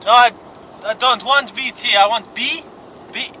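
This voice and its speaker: A bumbling, dim-witted caller who rambles and plays confused, put on by a man. The voice sits very high and sounds bright and sharp, with a faint hiss behind it. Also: a little slow, heavily acted. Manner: bumbling, absurd, feigned confusion. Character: dim foreign-sounding male caller Ravosh. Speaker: prank caller.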